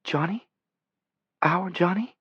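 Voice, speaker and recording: A man's voice: sits high, slow pace, fairly bright tone, breathy edge, no echo, highs cut off, pitched above the performer's own voice, full character voice. A man voicing a devout, easily shocked middle-aged housewife in an audiobook. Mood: stunned, hopeful.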